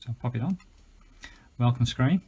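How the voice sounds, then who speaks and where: A man's voice: mid-range voice, moderate pace, gravelly, a little mellow, breathy edge, rough recording. A British man giving a chatty, enthusiastic, unscripted gadget review, explaining things as he goes. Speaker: product reviewer.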